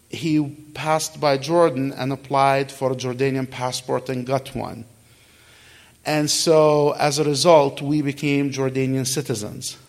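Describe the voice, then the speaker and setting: Gravelly middle-aged man telling his story. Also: mid-range voice, a little mellow. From Kuwait. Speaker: guest speaker answering audience questions. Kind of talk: conversational personal storytelling.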